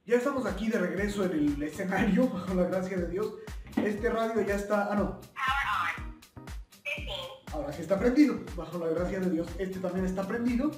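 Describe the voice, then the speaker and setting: High-voiced young Mexican man, echoey. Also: normal speed, darkish tone, faint music behind. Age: young adult. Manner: friendly direct address to viewers. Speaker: video tutorial host. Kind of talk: informal instructional explanation with practical examples. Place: small bare indoor room at a table. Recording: echoey bare room, distant microphone.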